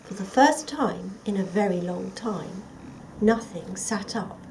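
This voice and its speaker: A woman reading a children's story aloud as a scripted narration, in an expressive, dramatic storytelling manner. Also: medium pitch, slow, a little hiss or hum, rough recording. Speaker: storybook reader.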